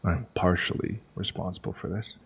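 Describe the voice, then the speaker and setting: A contrite, helpful male crew member in a sci-fi audio drama. His voice is deep and husky. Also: fairly even intonation, normal speed, a bit bright, heavily acted.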